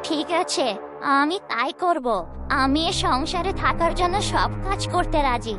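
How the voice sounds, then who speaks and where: Lady doing a scheming housewife from a kids cartoon, really high whiny voice, self-pitying, music behind. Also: lively intonation, measured pace. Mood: feigned remorse. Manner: whiny, wheedling, melodramatic. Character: scheming, self-pitying younger wife in a family. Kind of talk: children's fairytale cartoon dubbing.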